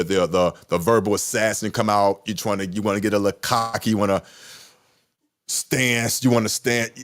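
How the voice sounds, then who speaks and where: Middle-aged male podcast host, deep and low-pitched, passionate and emphatic monologue. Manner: passionate, emphatic, repeats himself. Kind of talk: casual monologue.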